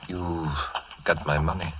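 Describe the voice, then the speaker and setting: Deep-voiced, courteous Greek immigrant. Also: male voice, flat delivery, a little slow, crowd noise, poor audio. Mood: urgent. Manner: polite, chuckling, slightly hesitant. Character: courteous, earnest Greek immigrant man, underground fundraiser. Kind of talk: 1940s radio acting. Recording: vintage 1940s radio recording.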